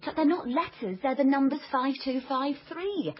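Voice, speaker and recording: Excitable amateur lady detective, swooping high voice. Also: moderate pace, lo-fi sound, a little hiss or hum. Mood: excited, brisk. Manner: melodramatic, wry, emphatic. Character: Ellie, headstrong young female amateur detective, dramatic and excitable. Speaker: lead character and narrator. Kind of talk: comic radio drama with wry noir-style narration.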